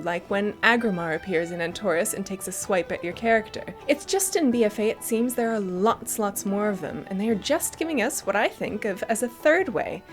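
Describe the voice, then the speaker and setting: A woman giving chatty, enthusiastic and emphatic scripted commentary as the host of a gaming news show. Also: a little high.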